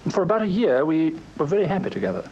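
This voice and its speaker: An actor playing an earnest, nervous young suitor in a British radio drama, hesitant and deferential, with Received Pronunciation. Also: male voice, a little high, sing-song, fast talker, mellow, lo-fi sound, a little hiss or hum. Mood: earnest, aggrieved. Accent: English Received Pronunciation. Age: young adult.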